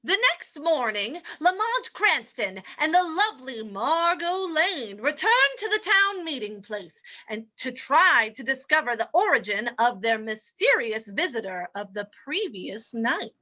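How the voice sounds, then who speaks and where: Lady announcer for old timey radio show, theatrical, slow bright voice, bit muffled. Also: sits high, very animated.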